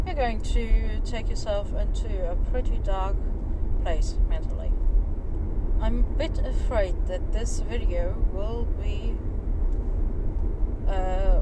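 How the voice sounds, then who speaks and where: A young woman talks reflectively and a bit rambling from behind the wheel of her car at night. Her voice sits a little away from the mic, and she speaks in an unhurried, slow way. Loud road and engine noise run under everything she says. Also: medium pitch, far from the mic. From Germany. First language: Polish. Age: young adult. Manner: reflective, rambling, with small laughs. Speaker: vlogger. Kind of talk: casual chat while driving.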